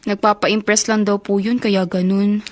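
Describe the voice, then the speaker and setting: An actress playing an earnest, soft-spoken young college woman in a radio drama, troubled over a suitor. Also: mid-range voice, level tone, unhurried, lightly acted. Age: young adult.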